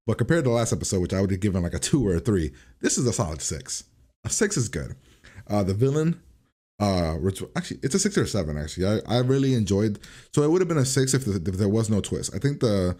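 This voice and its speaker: A man's voice: fairly low pitch, mellow. A man hosting a reaction video, giving a casual, informal review and rambling as he repeats and revises his points.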